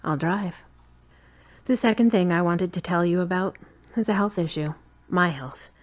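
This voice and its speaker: Woman acting a frank, lower-voiced uneasy girlfriend. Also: moderate pace, close to an everyday voice. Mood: matter-of-fact, uneasy. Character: Charity, tired frank woman, fond but uneasy girlfriend. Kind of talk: audio drama dialogue.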